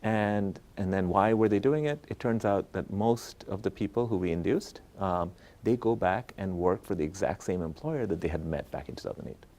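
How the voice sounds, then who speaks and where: A man from Bangladesh speaks in a deep, mellow voice as an academic being interviewed. He explains his work conversationally, step by step, and keeps checking in with short tag questions. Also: normal speed. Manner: explanatory, checks in with "right?" and "okay?". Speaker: economist, professor interviewed as guest.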